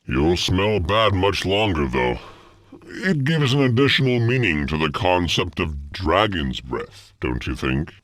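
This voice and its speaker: A man acts out a haughty schemer from a fantasy video game. His voice is deep and dry, with lively rises and falls. He speaks in a measured, wryly disdainful way. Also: no room sound, heavily acted. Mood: wry, instructing. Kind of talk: video game cutscene acting.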